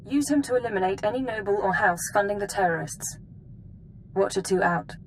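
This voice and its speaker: A woman's voice: mid-range voice, fairly quick, a little hiss or hum, close to an everyday voice, poor audio. A woman voicing a composed intelligence analyst in a video game, giving briefings in a precise, formal, businesslike way.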